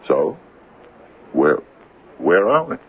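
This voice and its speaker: An actor playing a reluctant, uneasy small-town mayor in a 1980s radio drama, speaking haltingly in a gravelly, husky voice. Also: male voice, extremely low pitch, wildly swooping pitch, full character voice. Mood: troubled, reluctant. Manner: hesitant, halting. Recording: dull lo-fi radio recording.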